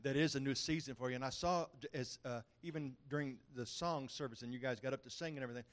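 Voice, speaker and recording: A man preaching a prophetic word at a church meeting, fervent and building in intensity, with halting restarts. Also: medium pitch.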